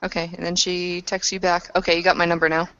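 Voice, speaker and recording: A woman's voice: lowish voice, little pitch movement, fast, a bit bright, dull audio, a little hiss or hum. A woman roleplaying in a tabletop game, talking casually and bluntly with plenty of swearing. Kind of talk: in-character roleplay chat.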